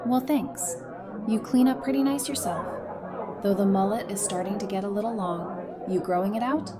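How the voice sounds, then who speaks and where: A woman's voice: mid-range voice, normal speed, close to an everyday voice. A woman playing a playful, confident young woman with a wry, teasing manner in an audio drama. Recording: music and party chatter behind. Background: music and crowd chatter.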